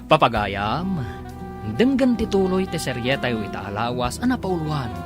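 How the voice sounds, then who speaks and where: A man's voice: a little high, sing-song, moderate pace. A man with an Ilocano accent narrating and announcing a radio drama in a dramatic scripted style. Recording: music behind the voice.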